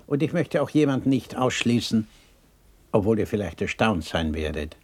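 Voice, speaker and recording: Gentle father, low husky voice. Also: big pitch swings, moderate pace. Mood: earnest. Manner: mild, tender, hesitant. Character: gentle, weary, kind-hearted poor father. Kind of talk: 1960s German radio play acting.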